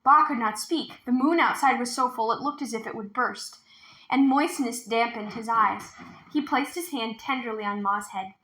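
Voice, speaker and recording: A woman's voice: a little high, moderate pace, pushed and bright, roomy sound, low-quality recording. A woman reading a storybook aloud expressively as a storytime narrator. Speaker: storytime reader.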